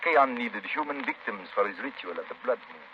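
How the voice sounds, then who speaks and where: An actor playing a courtly, grave French-speaking plantation host, talking formally in a 1930s radio drama. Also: male voice, on the low side, lively intonation, fairly quick, natural voice. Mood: grave, ominous. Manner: formal, polite, ominous storytelling. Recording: muffled, hissy 1930s radio recording.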